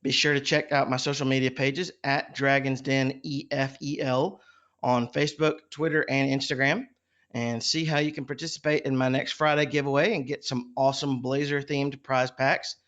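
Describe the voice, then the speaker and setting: A man hosting a casual podcast interview, speaking in a halting, informal way. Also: mid-range voice, level tone, a bit bright, muffled recording. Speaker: podcast host.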